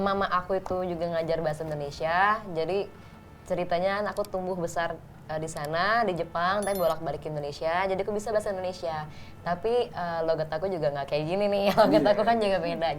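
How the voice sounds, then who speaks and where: A woman's voice: lowish voice, slight background noise. A woman who is a guest actor, explaining her preparation earnestly, with fillers. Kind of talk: casual interview chat.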